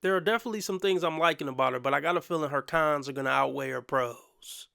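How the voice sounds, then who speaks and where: A man's voice: on the high side, fast, creaky, very noisy. A man hosting a reaction commentary channel, blunt and opinionated with casual slang.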